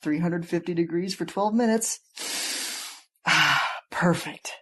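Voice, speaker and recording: A woman's voice: fairly low pitch, takes it slowly, a bit bright. A woman playing an earnest, scatterbrained writer who cooks up stories in a comic podcast skit. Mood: earnest, defensive.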